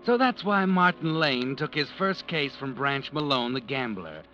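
A man's voice: medium pitch, expressive, a little slow, bright, forceful tone. An ominous male mystery narrator on a muffled old radio recording, with prominent music behind. He tells his tale in a sinister, knowing, insinuating way. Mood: wry storytelling.

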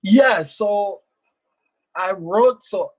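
A man's voice: high-pitched, drawn-out words, husky. A young man whose first language is French, speaking earnestly as an author in an interview and often repeating words while he thinks. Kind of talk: conversational interview.

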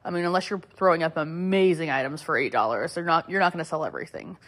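A young woman hosting a casual podcast, a little hoarse from a cold, chatting loosely with lots of fillers and small laughs. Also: sits low, fast, a bit bright. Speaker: podcast host. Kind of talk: casual solo podcast chat.

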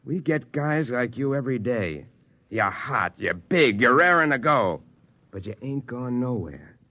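Gruff, dry-sounding freight boss. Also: male voice, medium pitch, lively intonation, unhurried, pushed and bright, no room sound, dull audio, exaggerated voice. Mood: scolding. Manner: blunt, slangy, lecturing. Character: gruff, world-wise male freight office boss. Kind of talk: old-time radio drama acting.

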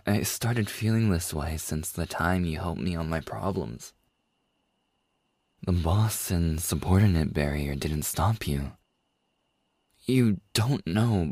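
A man acting a tender, lovestruck boss in an intimate boyfriend roleplay, speaking softly and hesitantly with a husky, breathy voice. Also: low-pitched, no echo, full character voice. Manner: soft, hesitant, slow with long pauses. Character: tender, lovestruck male boss confessing to an employee. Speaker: audio roleplay performer.

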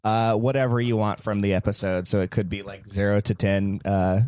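A man's voice: on the low side, little pitch movement, normal speed, a little mellow, no echo. Laughing, chatty podcast host man. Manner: chatty, laughs often, hedging asides. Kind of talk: casual chat.

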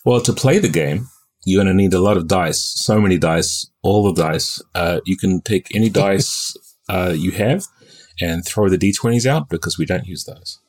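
A man's voice: low-pitched, normal speed, a little mellow. A man from New Zealand with a mixed New Zealand and American accent, chatting upbeat as a game designer guest on a podcast, laughing between phrases. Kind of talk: casual podcast interview.

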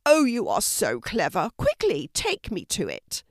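A woman voicing a small, cheeky, bossy male red panda in a children's storybook, demanding and excitable. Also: medium pitch, unhurried, no room sound, clean studio sound. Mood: excited.

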